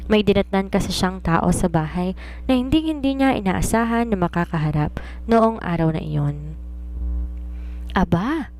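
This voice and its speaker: A Filipino woman narrates a romance story from a script. Her voice sits on the low side and has a dark, soft tone. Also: slight background noise.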